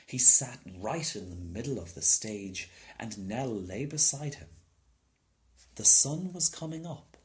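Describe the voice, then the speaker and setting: A man narrates a storybook at an unhurried pace. His pitch moves up and down in a lively way as he reads the scripted tale aloud. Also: poor audio. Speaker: storybook reader.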